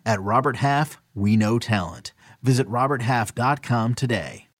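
A man's voice: medium pitch, unhurried, no echo, studio quality. Polished male commercial announcer. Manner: polished, even, scripted. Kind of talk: commercial read.

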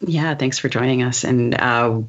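A man's voice: mid-range voice, unhurried, a bit bright. Slightly muffled male podcast host. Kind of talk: conversational interviewing.

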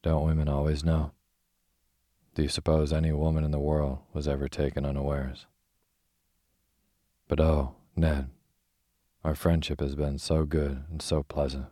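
Man reading as a poised young woman, gently reproving. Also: rumbling low, flat delivery, a little slow, pauses often, no echo, clean studio sound. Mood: gentle reproof.

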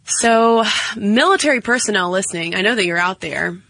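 A woman's voice: medium pitch, expressive, moderate pace, fairly bright tone, no room sound. A woman co-hosting a podcast in casual chat, talking straight to her listeners. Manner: chatty, addresses listeners directly. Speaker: podcast co-host.

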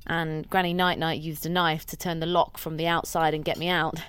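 Casting: young daughter, meek, hesitant, smooth clear tone, slightly low, naturalistic audio drama. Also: female voice, little pitch movement, moderate pace. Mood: nervous, halting. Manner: hesitant, soft-spoken.

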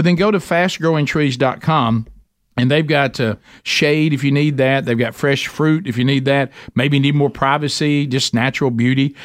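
A man's voice: a little high, no room sound, studio quality. A man with a Southern American accent, hosting a podcast in a casual, joking, folksy way and often repeating his phrases. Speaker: podcast host. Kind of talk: casual podcast chat and ad reads.

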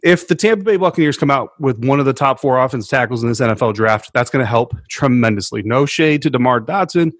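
A man's voice: medium pitch, animated, quick pace, few pauses, no echo, studio quality. A man co-hosting a sports podcast, talking through his analysis in a conversational, explanatory way.

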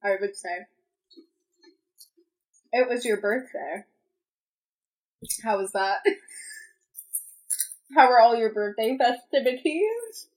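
Playful young woman, slightly high voice. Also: expressive, a little mellow, low-quality recording. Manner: playful quips, quick to laugh. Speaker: podcast co-host. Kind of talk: casual chat.

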